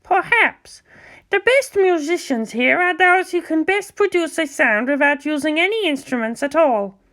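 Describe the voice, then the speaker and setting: A woman's voice: high voice, animated, normal speed, fairly bright tone, voice pushed up. A woman voicing an odd, cryptic old monk sage in a storybook reading. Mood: cryptic, musing.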